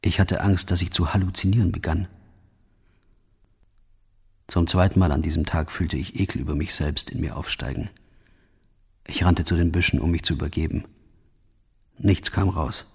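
A numb, guilt-ridden soldier recounting his deeds in a radio drama. His voice sits low and is thickly husky, with a lot of breath in it. The delivery is grave, restrained and halting. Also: fairly even intonation, exaggerated voice. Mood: disgusted, shaken. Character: guilt-ridden male soldier of a firing squad, numb, exhausted. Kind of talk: scripted first-person radio play monologue.

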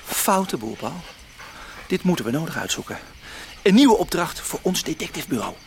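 An actor playing an eager, excitable boy amateur detective in a radio play, talking colloquially. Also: a little high, normal speed, gravelly. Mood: curious, excited. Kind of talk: Dutch radio play acting. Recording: faintly noisy old radio play recording.

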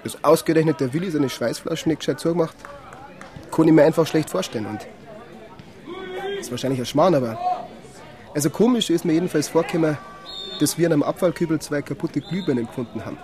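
An actor playing a grumbling, easily offended amateur footballer and firefighter, speaking colloquial Bavarian in a naturalistic radio drama. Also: male voice, fairly high pitch, fast talker, pauses often, darkish tone, close to an everyday voice. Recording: outdoor crowd noise behind. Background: football ground crowd.

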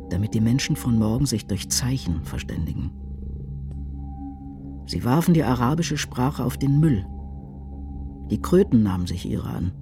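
A man reciting poetry for a radio drama in a grave, measured, declamatory way. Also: lowish voice. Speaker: reader in a radio adaptation of a poem cycle. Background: music.

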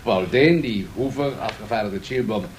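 Man acting a low-voiced, courteous studio host. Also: normal speed, lightly acted. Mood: courteous, welcoming. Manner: polite, sociable introductions. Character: courteous male radio host introducing his cast to guest. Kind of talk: naturalistic radio play dialogue. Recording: faintly noisy 1970s radio studio tape.

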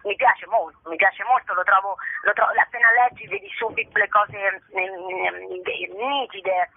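A woman's voice: medium pitch, a little slow, no echo. A middle-aged woman speaking effusively and spontaneously in an interview over the telephone, often repeating her phrases as she goes. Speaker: actress interviewed about a show. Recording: band-limited, dry telephone line.

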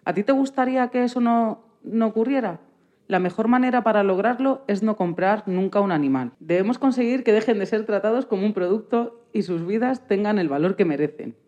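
Mellow Spanish woman, slightly dull recording. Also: mid-range voice, expressive, brisk. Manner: addresses listeners with rhetorical questions. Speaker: radio programme presenter. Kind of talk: educational scripted narration for children.

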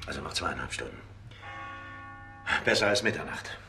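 An actor playing a brisk, commanding New York police captain in a radio drama. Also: male voice, a bass voice, animated, sharp tone, soft background music, exaggerated voice. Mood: calm, probing.

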